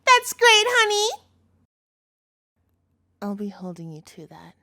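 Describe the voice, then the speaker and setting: A woman's voice: extremely high pitch, wildly swooping pitch, slow, pushed and bright, exaggerated voice. A woman playing an obsessive, doting captor girlfriend in a scripted audio roleplay, speaking directly to the listener in a cutesy tone with menace in it. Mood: delighted, then quiet, menacing.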